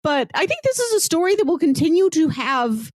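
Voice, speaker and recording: A woman's voice: extremely high pitch, sing-song, brisk, no room sound. A woman co-hosting a podcast, talking casually and conversationally about film. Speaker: podcast co-host. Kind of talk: casual film discussion chat.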